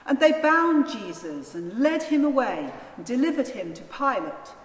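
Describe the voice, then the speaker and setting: A woman narrating a dramatised scripture reading in a solemn, deliberate manner. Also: sits high, big pitch swings. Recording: echoey church, long reverb, faint noise.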